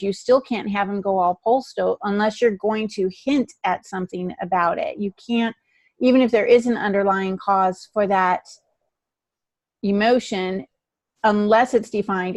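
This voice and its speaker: A woman's voice: medium pitch, normal speed, darkish tone, no echo. A woman co-hosting a writing podcast, speaking casually and emphatically as she discusses the craft. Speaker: writing podcast co-host and novelist.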